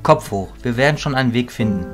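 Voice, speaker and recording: A man playing a calm, reasoning mentor in a fantasy audio drama, measured, philosophical and reassuring. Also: medium pitch, natural voice. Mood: frank, reassuring. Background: music.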